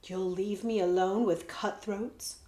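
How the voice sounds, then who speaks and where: A woman's voice: mid-range voice, steady tone, slow, dark tone, natural voice. Woman doing a bratty haughty boy prince. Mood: alarmed. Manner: haughty and whiny. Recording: echoey room.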